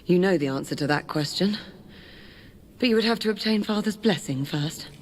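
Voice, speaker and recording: A woman's voice: fairly low pitch, lively intonation, normal speed, slight background noise, natural voice. An actress playing a headstrong young lady knight in a video game, poised and wry. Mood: wry, playful. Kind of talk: dramatic game cutscene acting.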